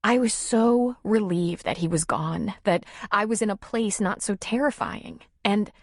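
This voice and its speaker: A woman voicing a tearful, timid young single mother who confides haltingly in an audiobook story. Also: medium pitch, dry recording, studio quality, pitched above the performer's own voice. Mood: confiding, vulnerable. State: tearful, sniffling.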